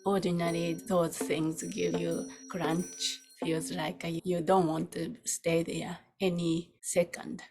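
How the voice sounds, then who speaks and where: Female meditation teacher, lowish breathy voice, very slow and soft with long pauses. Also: level tone, over music. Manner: soft, unhurried, with long pauses between short phrases. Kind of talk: guided meditation.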